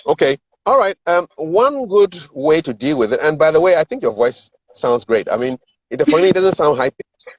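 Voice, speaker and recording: A man's voice: a little high, big pitch swings, normal speed. A middle-aged man with a Ghanaian accent, explaining things as a coach in a conversational interview and acting out sample dialogue. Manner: explanatory, acts out sample dialogue, repeats words for emphasis. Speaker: communications and sales coach giving advice. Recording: roomy echo, dull lo-fi sound.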